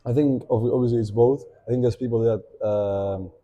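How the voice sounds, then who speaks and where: A young man with an Argentine Spanish accent, Spanish as his first language, talking as a podcast guest in a thoughtful way, pausing to think and often restarting his sentences. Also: medium pitch, fairly even intonation, mellow. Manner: reflective, thinking aloud with many fillers and restarts. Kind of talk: casual podcast conversation.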